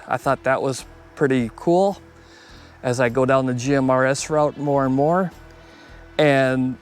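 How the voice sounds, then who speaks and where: A man talking casually and off the cuff as a hobbyist video host reviewing gear, plainspoken and conversational. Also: medium pitch. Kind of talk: casual unscripted product review.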